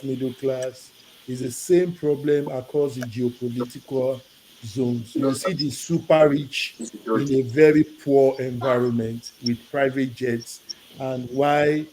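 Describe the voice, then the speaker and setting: A Nigerian man calling in to a discussion show, speaking earnestly in a halting, rambling way with many restarts. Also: medium pitch, background noise. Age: adult with children. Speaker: caller. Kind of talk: call-in discussion.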